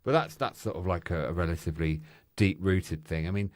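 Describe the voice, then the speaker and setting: A loud, exuberant and flamboyant man, the podcast's co-host, talking candidly in casual chat on a studio mic. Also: sits low, a little fast, dry recording.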